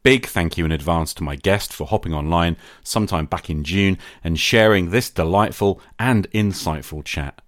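A man's voice: sits low, moderate pace, hardly any pauses, a bit bright, no echo, studio quality. A man hosting a podcast, giving introductions and putting questions to a guest. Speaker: podcast host and interviewer. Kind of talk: scripted podcast introduction and interview.

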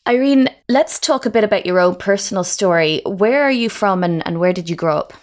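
A woman reporting and explaining a news story in a measured way. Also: medium pitch, lively intonation.

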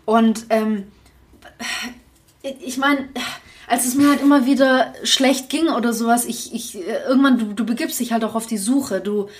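Young woman, podcast co-host, slightly high-pitched, candid, reflective, restarts often, casual chat. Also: moderate pace, a little hiss or hum. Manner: candid, talks in long reflective runs with restarts.

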